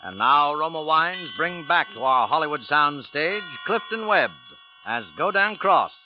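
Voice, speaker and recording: Male golden-age radio announcer, fairly high voice, faint music behind. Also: animated, unhurried. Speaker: programme announcer. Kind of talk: 1940s radio drama introduction, scripted. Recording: dull old radio recording with music.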